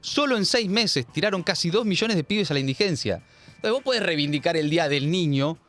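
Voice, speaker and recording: A man's voice: fairly high pitch, animated, brisk, fairly bright tone, faint music behind. A man with a Rioplatense Argentine accent hosting a political commentary show, talking in an emphatic, sardonic, slangy way. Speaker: host.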